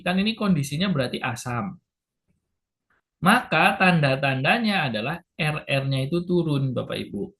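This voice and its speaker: A man lecturing health workers, slow and patient, repeating key points, with a sore throat that makes him clear his throat. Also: fairly high pitch, fairly bright tone.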